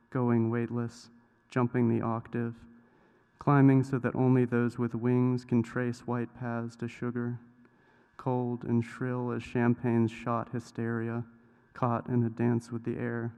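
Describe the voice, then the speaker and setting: A man reading poetry in measured short phrases with pauses between them. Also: mid-range voice, monotone, darkish tone, smooth. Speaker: poet reading own work. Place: reverberant hall at a live public reading. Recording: echoey hall with long reverb.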